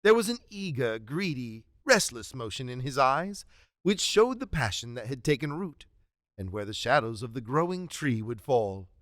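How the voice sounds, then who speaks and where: A man's voice: mid-range voice, sing-song, moderate pace, a bit bright, dry recording, studio quality. A man narrating a radio drama in a dramatic, scripted storybook style.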